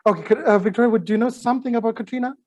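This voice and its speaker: A workshop facilitator guiding a group exercise on a video call, talking in a halting way with many fillers and restarts. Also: medium pitch, darkish tone, slight background noise.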